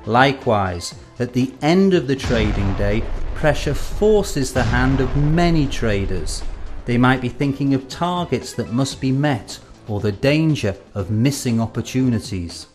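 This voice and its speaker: A man reads scripted educational narration in a measured, explanatory way, drawing out the ends of his phrases. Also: mid-range voice, lively intonation. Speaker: presenter of an educational film. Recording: music under the voice.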